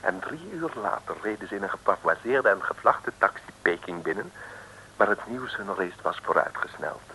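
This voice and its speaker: A man's voice: fairly low pitch, lively intonation, normal speed, sharp tone, a little hiss or hum, rough recording. A man narrating a 1950s radio comedy serial in a wry storytelling tone, talking to the listeners. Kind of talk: scripted radio play narration. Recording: old radio broadcast over music.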